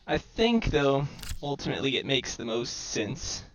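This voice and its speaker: A man's voice: mid-range voice, sing-song, unhurried. A man giving casual game commentary, deliberating aloud to himself through a microphone that distorts his voice to sound robotic. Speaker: gamer commentating own match. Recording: robotic, glitchy home microphone.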